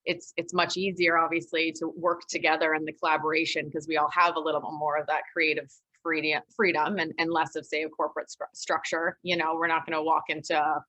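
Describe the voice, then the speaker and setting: Low, bright female voice, enthusiastic shop owner on a video call, dry audio. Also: level tone, a little fast, few pauses, dry recording, poor audio. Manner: enthusiastic, chatty, laughing. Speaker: small business owner. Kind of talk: casual panel talk.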